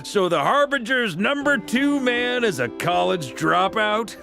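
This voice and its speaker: A man's voice: high-pitched, animated, measured pace, vocal fry, over music, full character voice. A man playing a jovial, easygoing veteran knight commander with a folksy, teasing manner in a video game. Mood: amused. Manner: folksy, jocular. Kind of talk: scripted video game dialogue.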